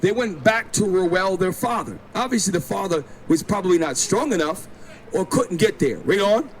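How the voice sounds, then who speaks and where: A man with a high-pitched voice preaches on a city street and insists on his points. Traffic roars clearly behind him. He keeps directing a companion to read verses aloud. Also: animated, normal speed, rough recording. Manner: insistent, instructs a reader to read. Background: passing vehicles.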